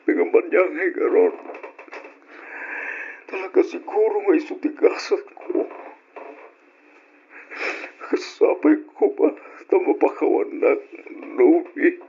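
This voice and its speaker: A man acting an ailing, repentant lover in a radio drama, pleading haltingly in a weak, breathy, raspy voice. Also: high-pitched, heavily acted. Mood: anguished pleading. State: weak and sickly. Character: ailing, repentant man begging forgiveness from his former love. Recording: dull lo-fi radio drama audio.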